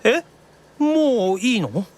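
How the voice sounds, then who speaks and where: Lively, youthful demon little brother. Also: male voice, very high-pitched, slow, exaggerated voice. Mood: startled. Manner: expressive, casual, reactive. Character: youthful, excitable, easily surprised demon younger brother. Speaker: voice actor. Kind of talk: anime audio drama dialogue.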